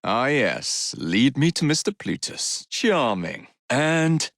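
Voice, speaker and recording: An actor with an English accent playing a cocky, roguish young Victorian gang leader in a video game, with a cheeky, wry, theatrical manner. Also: male voice, mid-range voice, very animated, takes it slowly, gravelly, a bit bright, no echo, clean studio sound, full character voice. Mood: focused, brisk.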